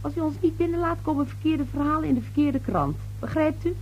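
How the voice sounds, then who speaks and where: An actress playing a brash, slippery young newspaper reporter in a radio play, glib, insistent and patronizing. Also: female voice, high voice, fast talker, darkish tone, highs cut off, faint music behind. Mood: pushy, condescending. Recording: noisy old radio drama recording.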